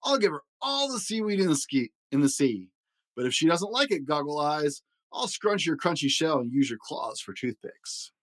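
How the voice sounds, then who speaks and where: Storybook shark, played by a man, big, bossy, soppy in love, lively pitch, dry sound. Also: normal speed, no echo. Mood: bragging, threatening.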